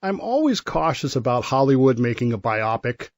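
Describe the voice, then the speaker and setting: An American man co-hosting a history segment on talk radio, explaining things in a wry, measured, casual way. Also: mid-range voice, very animated, dry recording.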